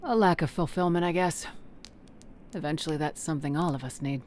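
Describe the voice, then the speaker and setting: A woman's voice: deep voice, fairly quick, darkish tone, a little hiss or hum, lightly acted. A woman playing a brave, loving adventurer and wife in a fantasy audio drama. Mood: reflective.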